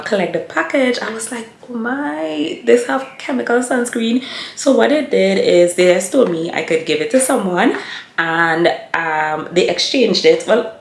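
A woman's voice: medium pitch, normal speed, vocal fry. A woman in her thirties chatting casually to the camera as a lifestyle vlogger, talking straight to her viewers. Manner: chatty, addresses viewers as y'all. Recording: echoey room with faint hiss.

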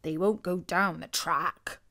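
A woman voicing a wary, suspicious male farmer in a children's story, gruffly matter-of-fact. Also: on the low side, fairly even intonation, slow, pushed and bright, full character voice. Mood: practical.

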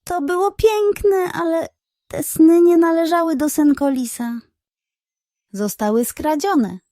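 A woman's voice: very high voice, slow pace, mellow, dry recording, studio quality, pitched above the performer's own voice. A woman voicing a small, brave and honest male kitten in a children's storybook, earnest and wide-eyed. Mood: concerned.

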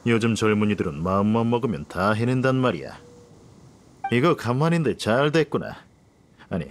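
An actor playing a condescending older aristocrat in a video game, with formal, lordly phrasing and a pompous manner. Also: male voice, mid-range voice, slight background noise, lightly acted. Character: haughty, pompous older aristocratic man, condescending yet easily flattered.

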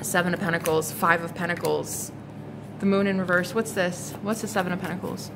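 A woman's voice: fairly low pitch, steady tone, brisk. A woman in her thirties doing a casual tarot reading to camera, blunt and exasperated, swearing freely and repeating herself. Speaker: psychic tarot reader. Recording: noticeable background noise.

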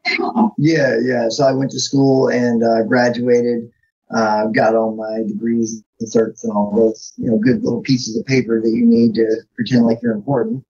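A man's voice: mid-range voice, moderate pace, creaky, dark tone, slightly rough. A laid-back, self-deprecating man talking casually as a podcast guest, on a dull lo-fi connection. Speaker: podcast guest, firearms author. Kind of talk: casual interview chat.

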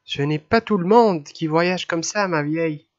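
A man's voice: on the high side, unhurried, pitched above the performer's own voice, close to an everyday voice. A man voicing a cheeky, playful young boy in a storybook reading, on a dull old recording. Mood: boastful. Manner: boisterous, playful.

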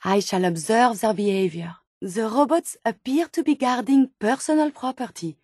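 Woman acting as female robot scientist, high voice, dry close sound, curious, polite, formal, video game companion. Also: normal speed, a little mellow, no room sound, close to an everyday voice. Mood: earnest, analytical. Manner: formal, precise wording with wide-eyed wonder. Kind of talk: scripted game companion dialogue.

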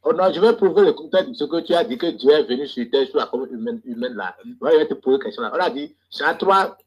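A man's voice: fairly high pitch, expressive, fast, breathy edge, dull audio. A man from Togo in a live debate, challenging and pressing, repeating his demands over a call.

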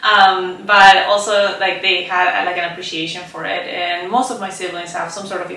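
A woman's voice: on the low side, level tone, moderate pace, distant mic. A woman in her thirties from Chile, a visual artist speaking thoughtfully in a documentary interview with lots of "like" and "um". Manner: thoughtful, soft-spoken, many "like" and "um" fillers. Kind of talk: documentary interview, reflective talk. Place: roomy painting studio, indoors. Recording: echoey studio room, off-mic.

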